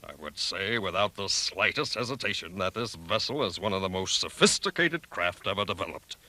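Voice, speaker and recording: Forceful, commanding general. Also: male voice, low voice, animated, moderate pace, dry recording, exaggerated voice, poor audio. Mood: proud, official. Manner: declamatory briefing delivery. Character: jovial, commanding senior male general. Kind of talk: audio drama acting.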